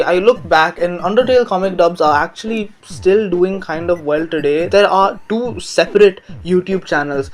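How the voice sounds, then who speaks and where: Higher-pitched young man ranting over music. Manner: hyperbolic, sarcastic, crude joking. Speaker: YouTube commentary host. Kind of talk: casual comedic video-essay rant. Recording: music behind the voice. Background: background music.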